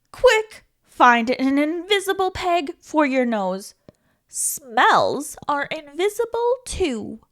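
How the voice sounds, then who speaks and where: Very animated high-voiced woman reading to kids. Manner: expressive, playful, with questions to the listener and dramatic pauses. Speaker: storytime host reading a children's book aloud. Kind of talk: animated children's read-aloud.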